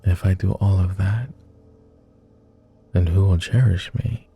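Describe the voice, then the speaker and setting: A man's voice: sits low, fairly even intonation, a little slow, dark tone, slight background noise. A man playing a clingy, lovestruck and melancholy vampire lord in an intimate boyfriend roleplay ASMR, speaking tenderly in formal, old-fashioned, hesitant phrases. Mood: earnest, tender.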